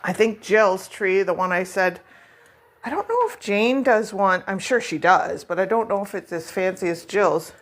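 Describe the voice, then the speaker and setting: A woman's voice: medium pitch, expressive, normal speed. A middle-aged woman chatting casually at home as a vlog host, rambling and self-deprecating while she decorates.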